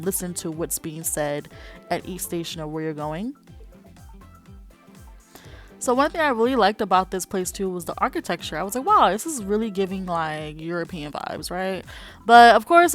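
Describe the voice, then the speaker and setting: A young woman talks through her solo trip in a relaxed, enthusiastic voice-over, sprinkling in "like" and "um". Soft music plays quietly beneath her. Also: mid-range voice. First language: not Japanese. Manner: chatty and enthusiastic, with frequent fillers. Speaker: vlogger. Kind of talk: travel vlog voice-over. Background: background music.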